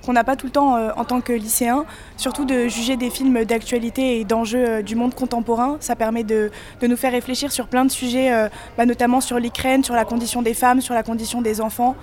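A woman's voice: on the high side, flat delivery, brisk, darkish tone, a little hiss or hum. A teenage girl on a student jury, speaking enthusiastically with many fillers. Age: teens. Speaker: student juror.

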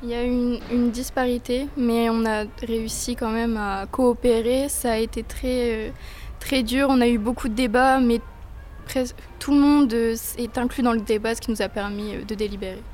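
A woman's voice: a little high, fairly even intonation, normal speed, a little mellow, a little hiss or hum. A teenage girl on a student jury, speaking articulately and reflectively. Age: teens. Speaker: student juror.

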